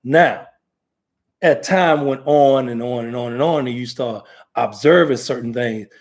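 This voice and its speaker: An American man hosts a talk show in a loose, rambling way, circling back and restarting his thoughts. His voice is mid-pitched and ordinary. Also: unhurried. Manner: rambling, conversational, many fillers and restarts. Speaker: talk show host, ordained minister. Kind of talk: casual talk show chat.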